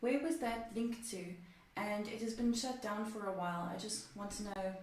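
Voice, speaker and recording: A woman's voice: medium pitch, moderate pace. Distant, very echoey woman reading messages. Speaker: assistant reading out viewers' questions. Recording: echoey, noisy, far from the mic.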